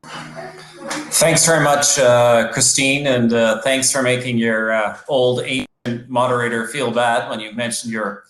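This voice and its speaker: A man's voice: medium pitch, steady tone, a little slow, a bit bright, echoey room, poor audio. A man moderating a panel over a video call, friendly and self-deprecating.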